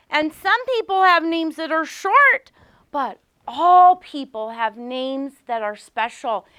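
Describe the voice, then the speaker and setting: Woman, teacher reading to small children, bright voice, slow delivery, simple questions, emphatic repetition, close dry sound. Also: high voice, no echo. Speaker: teacher reading aloud to young pupils. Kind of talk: interactive storybook read-aloud lesson.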